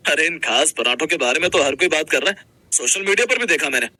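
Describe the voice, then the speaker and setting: A man's voice: on the high side, animated, fast, bright, forceful tone, no room sound, exaggerated voice. A man playing a friendly young restaurant manager in a Hindi cartoon story, explaining things in a casual, businesslike way. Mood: surprised, friendly.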